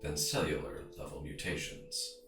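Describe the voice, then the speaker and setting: A man playing a very deep, brusque scientist. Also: expressive, moderate pace, bright, forceful tone, roomy sound, soft background music, exaggerated voice. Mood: lecturing. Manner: brusque, formal, precise. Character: curt, impatient male scientist, dry and learned. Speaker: doctor of science. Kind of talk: audio fiction dialogue.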